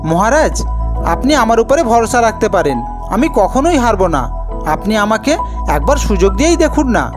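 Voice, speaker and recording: A woman's voice: a little high, very animated, moderate pace, over music, voice pushed up. A woman voicing an eager, earnest young village boy in a cartoon folk tale. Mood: earnest, confident. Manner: earnest, repeats his pleas. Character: woodcutter's young son, eager, polite, bold boy.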